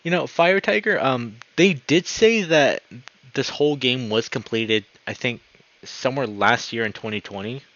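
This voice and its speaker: A man's voice: mid-range voice, moderate pace, a bit bright. A man giving chatty, exclamatory commentary as he plays through a visual novel. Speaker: streamer playing a visual novel. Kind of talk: gameplay commentary and reading dialogue aloud. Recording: dull, lo-fi mic with music behind. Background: guitar game music.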